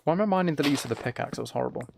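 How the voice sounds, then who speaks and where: Gravelly man chatting on a game stream. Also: mid-range voice, animated, racing, background noise. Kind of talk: casual livestream chat while gaming, relaxed ASMR.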